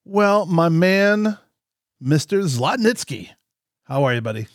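A man's voice: a little high, big pitch swings, takes it slowly, no echo, faint music behind. A man in his fifties co-hosting a podcast, jokey and chatty as he reads out a long guest introduction. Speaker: co-host. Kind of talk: podcast interview with an ad read.

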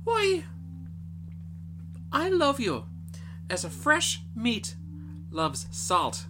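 A man voicing an earnest young gentlewoman in a storybook, with a theatrical, pleading delivery. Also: very high voice, wildly swooping pitch, drawn-out words, voice pushed up, heavily acted. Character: earnest young gentlewoman, a fairy tale daughter.

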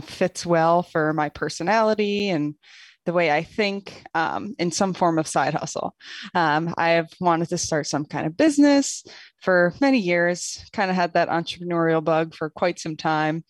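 A woman's voice: on the low side, no echo. A woman being interviewed as a guest, talking modestly and hesitantly with many ums. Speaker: guest blogger. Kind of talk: casual interview answers. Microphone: webcam mic.

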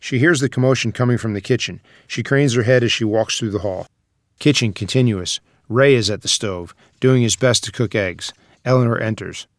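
A man's voice: medium pitch, moderate pace, no echo, studio quality. A man reading stage directions as scripted screenplay narration.

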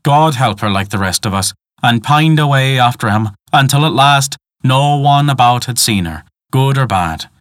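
A man's voice: mid-range voice, animated, moderate pace, hardly any pauses, fairly bright tone, no room sound, studio quality, natural voice. A man voicing an old peasant woman, a superstitious, folksy guide telling tales in dialect for a storybook. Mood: reverent storytelling.

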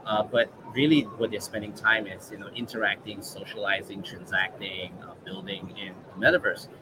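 A man from Malaysia with a Malaysian English accent, a guest in a casual interview, relaxed and thinking aloud with many fillers. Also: lowish voice, moderate pace, background noise. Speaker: guest, regional manager.

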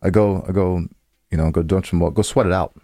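A low-voiced man bantering casually as a podcast co-host. His talk is colloquial and teasing, and the sound is close and dry. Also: steady tone, fairly quick, warm tone, dry recording.

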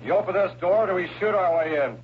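Actor as commanding police lieutenant, tinny old radio. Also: male voice, high voice, quick pace, natural voice. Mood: forceful, angry. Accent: American. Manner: authoritative, emphatic, clipped orders. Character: brisk, commanding male police lieutenant, gruff and impatient. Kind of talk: 1960s radio drama acting. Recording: thin, narrow, noisy old radio broadcast.

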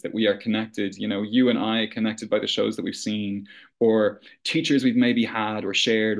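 A man with a fairly low voice talks as a guest on a theater podcast. He is earnest and reflective, and his sentences often restart with "you know.". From rural Ireland. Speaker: artistic director, guest. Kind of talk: conversational podcast interview.

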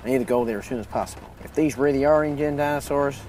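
An actor playing a brisk, worried field doctor in an audio drama. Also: male voice, medium pitch, background noise, lightly acted. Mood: concerned, urgent.

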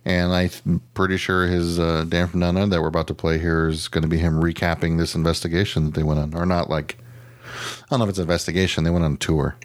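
Deep-voiced casual male podcast host. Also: flat delivery, quick pace, dry recording. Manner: offhand, loose, informal. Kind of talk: casual chat.

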